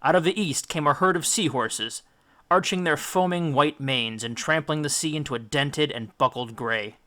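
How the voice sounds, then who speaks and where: A man reading an audiobook as dramatic, scripted storybook narration, with deliberate, theatrical phrasing. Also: a little high, bright, forceful tone. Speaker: audiobook reader.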